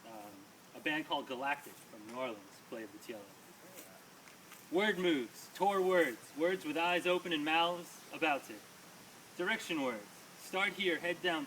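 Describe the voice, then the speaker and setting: A man with a slightly high, bright and forceful voice reads poetry to a live audience. His delivery is emphatic and rhythmic, mixed with casual asides. The mic sits at a distance, with some faint noise around him. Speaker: poet reading own poems to an audience. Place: small live reading before an audience.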